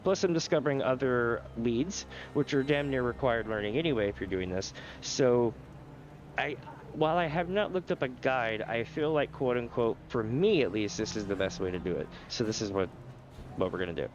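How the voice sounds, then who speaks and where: A man's voice: mid-range voice, highs cut off. Nasal-sounding man streaming a game. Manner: casual, explanatory, chuckles often. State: sore throat, nasal. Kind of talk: live gameplay commentary.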